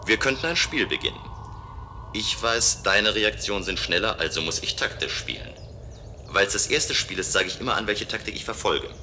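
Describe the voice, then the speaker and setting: An actor playing a restless, playful man of a future city in a German radio play, with a measured, musing delivery. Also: lowish voice, lively intonation, sharp tone, a little hiss or hum. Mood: playful, competitive.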